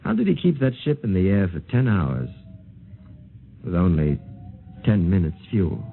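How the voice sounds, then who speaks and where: A gravelly, brisk military doctor. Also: male voice, sits low, huge pitch swings, phone-quality sound, noisy background, full character voice. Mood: musing. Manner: brisk, matter-of-fact. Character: practical male military doctor. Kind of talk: 1950s radio drama acting. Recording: thin 1950s radio transcription.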